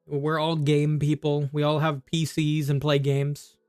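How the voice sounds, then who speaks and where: A man's voice: a little high, completely flat delivery, measured pace, a little mellow, clean tone, no room sound, clean studio sound, soft background music. A man chatting casually on a game livestream, rambling and thinking aloud as he plays. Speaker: game streamer. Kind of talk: casual livestream chat while gaming.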